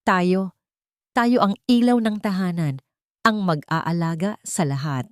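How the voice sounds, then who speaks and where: A woman's voice: fairly low pitch, measured pace, clean tone, dry recording, studio quality, close to an everyday voice. Female narrator as an old grandmother, upbeat and oblivious, preaching patience in a romantic audio drama. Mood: insistent, preachy. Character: cheery, oblivious elderly grandmother praising and preaching endurance.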